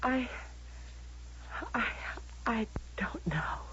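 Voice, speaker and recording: A woman's voice: on the high side, expressive, drawn-out words, sharp tone, full character voice. An actress playing a distraught, defiant woman in a radio drama, pleading and faltering on a muffled old broadcast. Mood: upset, despairing. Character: distraught, defiant woman in a future society, forbidden a baby.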